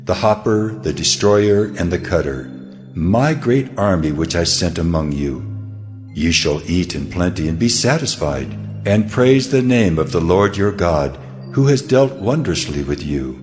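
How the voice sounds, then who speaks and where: A man's voice: low-pitched, a little mellow. A man voicing God as a solemn, mighty deity in a stately dramatized scripture reading. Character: God speaking, solemn mighty male deity promising restoration. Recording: long cavernous reverb over music.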